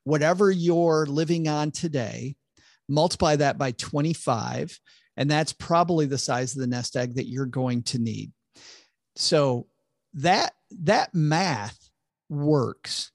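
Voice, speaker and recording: A man with a gravelly, somewhat high voice, talking as a guest on a podcast. He explains earnestly and often stumbles into restarts. The sound is close and dry. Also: slow pace, dry recording. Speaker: financial advisor guest. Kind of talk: conversational podcast interview.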